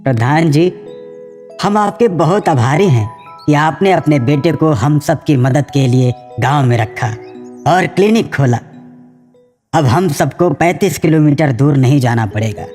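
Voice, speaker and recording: Guy doing an older village uncle, superstitious n earnest, kinda high voice, big echo. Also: animated, measured pace, a little mellow, soft background music. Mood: grateful. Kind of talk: cartoon story acting. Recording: long reverberant hall echo.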